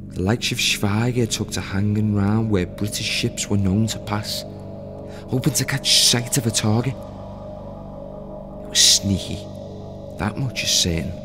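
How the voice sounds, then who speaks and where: A bitter dead ship's crewman. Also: lowish voice. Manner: bitter, slow and brooding. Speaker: actor in an audio play monologue. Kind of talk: scripted dramatic monologue. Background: music.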